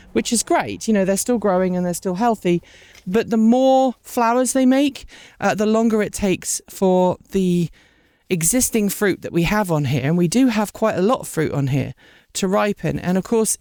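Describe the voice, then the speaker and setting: A woman's voice: medium pitch, dark tone, no room sound, clean studio sound. Sniffly woman chatting in her garden. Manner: chatty, rambling, with many ums. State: sniffly from a cold. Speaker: vlog host. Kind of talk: casual unscripted garden vlog; scripted outro thanking supporters. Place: outdoor garden.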